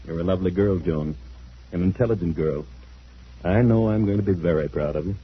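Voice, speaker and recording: A man's voice: deep voice, brisk, breathy edge. An actor playing a middle-aged, patronising, controlled father in an old radio drama. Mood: soothing, patronising. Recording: dull, faintly hissy old radio recording.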